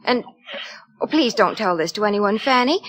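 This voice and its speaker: An actress playing an excitable, lovestruck young society woman in a 1950s radio drama, girlish and confiding. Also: medium pitch, moderate pace, natural voice. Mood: lovestruck, troubled.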